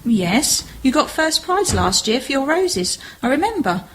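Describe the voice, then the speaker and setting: A woman's voice: high-pitched, sing-song, unhurried, fairly bright tone, slight background noise, exaggerated voice, rough recording. A woman acting a homely, sensible, cautious sister in an amateur radio play, with a questioning manner. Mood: encouraging.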